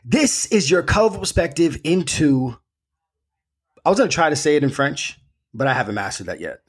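A man's voice: a little high, very animated, moderate pace, husky. A young man hosting a film podcast, hyped and jokey, with a lot of slang. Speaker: podcast host. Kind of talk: casual chat.

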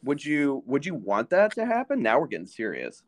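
A man's voice: medium pitch, wildly swooping pitch, a little fast. A man co-hosting a podcast in casual chat, laid-back with a dry sense of humor. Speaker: podcast co-host.

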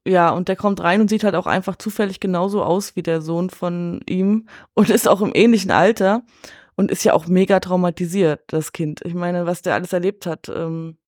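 A woman's voice: lowish voice, steady tone, normal speed, darkish tone, no room sound, clean studio sound. A woman speaking as a guest in a casual film discussion, colloquial and sprinkled with English slang. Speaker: podcast guest.

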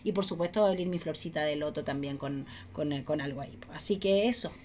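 A woman's voice: deep voice, fast, a little mellow, a little hiss or hum. An effusive woman, chatting casually as a podcast co-host. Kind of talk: casual chat.

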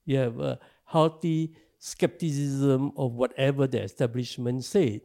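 An older man from Singapore with a Singaporean English accent, giving slow, halting answers in an interview, full of filler pauses. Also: mid-range voice, steady tone, vocal fry, mellow. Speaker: interview guest, activist.

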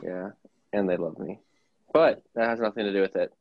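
Young man, lowish voice, teasing podcast guest, dry dull lo-fi mic. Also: normal speed, creaky, a little hiss or hum. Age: 20s. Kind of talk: casual podcast chat.

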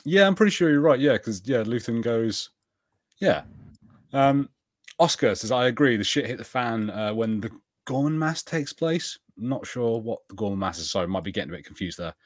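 A man's voice: mid-range voice, expressive, normal speed, no echo, clean studio sound. A man co-hosting a podcast, chatting casually and rambling with many fillers and restarts. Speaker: podcast co-host. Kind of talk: casual chat.

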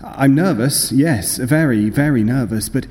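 A man's voice: medium pitch, darkish tone, slightly rough. An actor playing a nervous, obsessive madman confessing a murderous plot, insistent and intense, in old-time radio horror style. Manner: insistent, intense, deliberate self-justifying delivery. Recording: long reverb, music behind.

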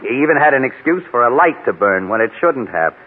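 A confident American photographer-detective in a 1940s radio mystery. He is brisk and probing, with a rough-edged, lively swinging voice. The vintage recording sounds muffled. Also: mid-range voice. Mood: knowing, probing. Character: shrewd, confident newspaper photographer sleuth. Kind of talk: 1940s radio crime drama acting. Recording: dull old radio recording.

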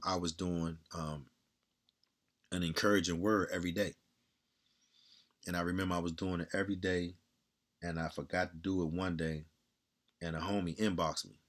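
Man with a strong, loud, very deep voice. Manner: blunt, candid, swears freely, repeats himself. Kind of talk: casual monologue.

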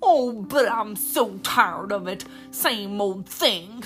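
A woman voicing a restless, greedy boy who complains loudly and drags out his words. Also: medium pitch, expressive, slow, faint music behind. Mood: grumbling.

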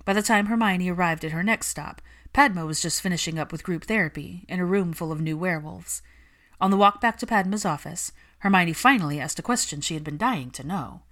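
A woman's voice: lowish voice, a little fast, a bit bright. A woman reading scripted fiction aloud as a story narrator.

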